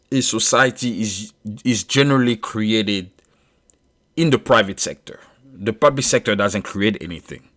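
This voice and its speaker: A man in his thirties from Ivory Coast, French his first language, speaking in an Ivorian French accent, giving a measured solo monologue with frequent fillers and restarts. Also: fairly low pitch, fairly bright tone. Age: 30s. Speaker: commentator.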